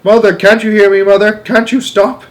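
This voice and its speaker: A man voicing a distraught, wailing middle-aged woman in a storybook, shrill and full of exclamations. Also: little pitch movement, moderate pace, close to an everyday voice. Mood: frantic alarm.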